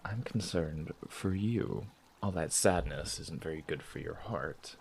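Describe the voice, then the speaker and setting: A man voicing a sly traveling performer who teases and probes with smooth talk in an audio drama. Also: deep voice, unhurried, darkish tone, a little hiss or hum. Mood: teasing, prying. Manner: teasing, probing, smooth-talking. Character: sly prying male traveling performer, teasing.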